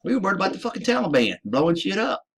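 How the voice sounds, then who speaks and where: A man's voice: high voice, sing-song, racing, slightly rough. Profane, ranting American veteran man. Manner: profane, emphatic, addresses listeners as ladies and gentlemen. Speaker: military veteran. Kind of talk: ranting monologue.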